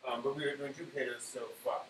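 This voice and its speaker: A man hosting a casual cooking live stream, explaining steps haltingly as he thinks aloud. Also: mid-range voice, steady tone, fairly bright tone. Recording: roomy, far mic, clear background hum.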